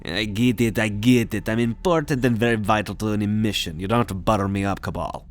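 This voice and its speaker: A man performs an alien ship's technician in a sci-fi roleplay. The voice is fairly low, with a cocky, wisecracking, sarcastic delivery. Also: fairly quick, natural voice. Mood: smug. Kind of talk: ASMR sci-fi roleplay acting.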